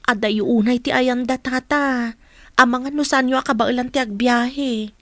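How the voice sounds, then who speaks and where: A woman's voice: on the high side, fairly even intonation, moderate pace, fairly bright tone, no echo. An actress playing a loyal, outspoken woman in a radio drama, speaking earnestly and emphatically on behalf of her friend. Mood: earnest, concerned.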